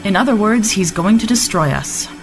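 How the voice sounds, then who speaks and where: A woman's voice: medium pitch, expressive, moderate pace, soft background music, natural voice. Woman as a stern starship commander. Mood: grim. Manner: curt, authoritative. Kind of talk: sci-fi audio drama acting.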